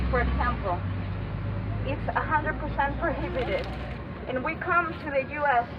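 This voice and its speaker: A woman from El Salvador, giving an earnest rally speech in plain words. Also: high voice. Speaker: rally speaker. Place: outdoor city street rally. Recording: muffled outdoor recording with traffic noise.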